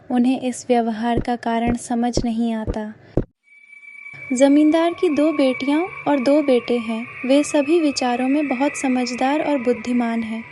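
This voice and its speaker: A woman's voice: on the high side, background noise. A woman narrating a scripted horror cartoon story.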